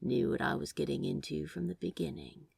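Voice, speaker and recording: A woman's voice: low voice, sing-song, fairly quick, vocal fry, darkish tone, husky, dry recording, exaggerated voice. A woman voicing a cool, flirty man who talks in a casual, teasing, clipped way in a read-aloud romance story. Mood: husky flirting.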